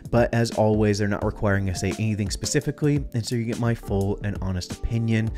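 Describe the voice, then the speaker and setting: A man's voice: fairly low pitch, fairly even intonation, fast talker, music in the background. A young man with a stuffed-up, nasal voice, casually walking through his camera gear as a filmmaking channel host. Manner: casual, chatty gear talk. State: congested, stuffed up. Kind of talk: gear review vlog. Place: dim home studio. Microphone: studio mic on boom arm.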